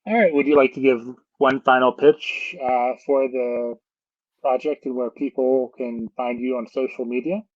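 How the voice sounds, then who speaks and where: A man's voice: mid-range voice, fairly even intonation, normal speed, no echo. A man hosting a casual interview, with a hesitant, loosely phrased way of asking things. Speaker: interview host. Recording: dull, lo-fi, dry sound.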